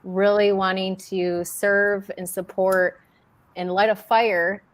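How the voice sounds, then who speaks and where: A woman's voice: mid-range voice, level tone, takes it slowly. A woman speaking enthusiastically as a panelist on a video call. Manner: enthusiastic, casual swearing. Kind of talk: casual panel chat.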